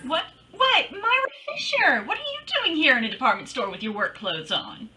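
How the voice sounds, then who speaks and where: A woman acting as a breezy female private eye from an old radio serial. The voice is very bright and forceful, pitched high, and swings widely up and down. The delivery is sassy and full of quips. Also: sing-song, normal speed, slight background noise, full character voice. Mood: breezy, surprised. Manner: sassy, quippy, slangy. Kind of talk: old-time radio detective drama acting.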